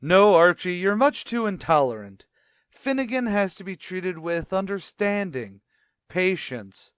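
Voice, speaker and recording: Man playing a dignified, dry, smooth-voiced music critic. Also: high voice, no room sound, exaggerated voice. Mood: politely formal. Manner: formal, deadpan, measured. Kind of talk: old-time radio sitcom acting.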